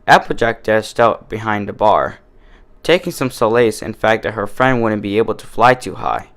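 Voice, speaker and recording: A man's voice: lowish voice, lively intonation, normal speed, fairly bright tone. A man reading a comic fan fiction story aloud as its narrator.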